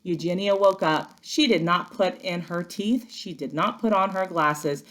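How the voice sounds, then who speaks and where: A woman working as a first-grade teacher, giving an expressive, instructive read-aloud lesson for young children. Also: on the low side, unhurried.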